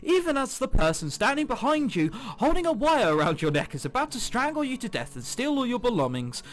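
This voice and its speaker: A man's voice: very high voice, very animated, a little fast. A man giving casual, jokey gaming commentary with sarcastic asides, switching between reading game text aloud and his own remarks. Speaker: let's player. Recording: music behind the voice. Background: game background music.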